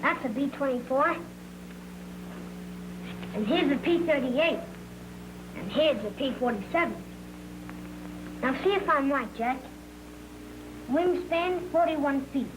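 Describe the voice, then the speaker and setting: A man's voice: very high voice, animated, slow, pauses often, heavily acted. A young male actor playing an eager, know-it-all boy who loves airplanes, in the earnest style of a 1940s training film. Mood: eager, proud. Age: child. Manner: earnest, matter-of-fact recital of technical facts. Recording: noisy, humming 1940s film soundtrack.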